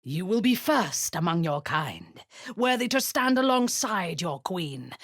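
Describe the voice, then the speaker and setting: A husky, cruel drow warlord. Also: female voice, lowish voice, very animated, unhurried, no room sound, studio quality. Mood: commanding. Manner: commanding, menacing, drops to a husky whisper. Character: cold, imperious female drow warlord, cruel and commanding. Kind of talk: video game voice acting.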